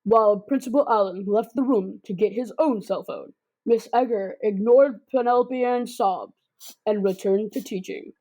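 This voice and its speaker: A woman's voice: medium pitch, moderate pace, mellow, lightly acted, low-quality recording. A girl of about twelve narrating a comic suspense radio drama in a theatrical, scripted way. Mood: suspenseful. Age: child about twelve.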